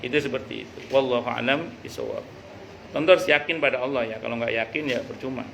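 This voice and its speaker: Bright-voiced man teaching religion. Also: medium pitch, very animated, creaky. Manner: conversational, jokey, halting with repeated words. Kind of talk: informal religious study talk with anecdotes and read-out passages. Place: a private home hosting a study gathering. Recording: noisy live recording.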